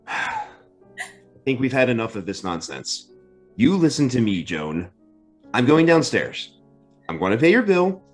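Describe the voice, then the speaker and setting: A man playing an earnest, insistent sweetheart who presses emotionally, in an old-time radio drama. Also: mid-range voice. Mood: firm, insistent.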